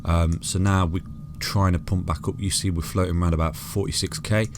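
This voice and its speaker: A man's voice: sits low, little pitch movement, fairly quick, slight background noise. A man with a soothing voice walks through crypto charts in a casual, chatty way, calling listeners "guys" and "mate" and restarting phrases often.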